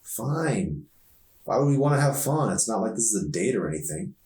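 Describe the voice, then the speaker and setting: A man's voice: lowish voice, sing-song, moderate pace, darkish tone, voice pushed up. Man voicing a sarcastic teenage girl, echoey room. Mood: annoyed, sarcastic. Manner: teasing, sarcastic. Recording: echoey room, distant mic.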